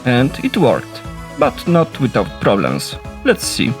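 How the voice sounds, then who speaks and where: A man from Poland, a native Polish speaker with a Polish accent, narrating a devlog about his game in a steady, explanatory way. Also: medium pitch, takes it slowly, faint music behind. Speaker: solo indie game developer.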